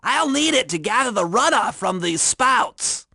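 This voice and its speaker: A man's voice: very high voice, big pitch swings, a little slow, pushed and bright, dry recording, studio quality, exaggerated voice. A man voicing a nerdy, anxious young male turtle inventor and hacker in a video game, speaking in precise, wordy technical phrases. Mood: focused.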